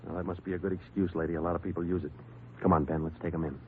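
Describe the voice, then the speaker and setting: A man playing a stern, laconic police detective and narrator in clipped, deadpan 1950s radio acting. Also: low voice, rapid-fire, narrow, tinny audio, heavy background noise, lightly acted. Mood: dry. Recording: band-limited old radio broadcast, loud music.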